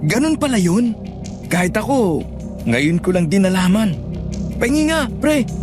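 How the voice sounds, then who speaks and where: A man's voice: high-pitched, big pitch swings, normal speed, music in the background. An actor playing an eager, easily amazed young man, talking like a casual buddy in a Filipino horror audio drama. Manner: exclamatory, casual buddy talk.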